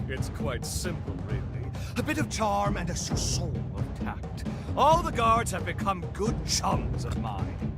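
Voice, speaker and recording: An actor playing a pompous, self-satisfied eccentric gentleman in a video game, with theatrical, florid and genteel delivery. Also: high-pitched.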